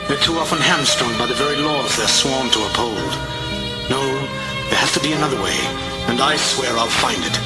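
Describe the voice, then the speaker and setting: A man's voice: fairly high pitch, natural voice. An actor playing a brooding, vengeful crimefighter who solemnly tells his own past in a theatrical comic-book drama. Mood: somber resolve. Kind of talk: dramatic first-person comic narration. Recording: loud music under the voice. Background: dramatic music.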